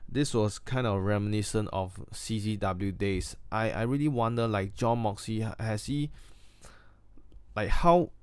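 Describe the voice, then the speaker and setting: A man's voice: fairly low pitch, a little slow, a little mellow, smooth, no echo. Blunt Singaporean man ranting. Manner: blunt, crude, opinionated, with fillers and restarts. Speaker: wrestling review vlogger. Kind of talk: casual spoken review.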